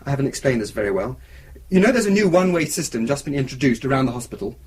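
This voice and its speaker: A man's voice: mid-range voice, animated, rapid-fire, breathy edge. An actor playing an anxious, defensive boyfriend in a radio drama, hesitant as he explains himself. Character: anxious, defensive young boyfriend of a missing woman. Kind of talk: naturalistic radio drama acting.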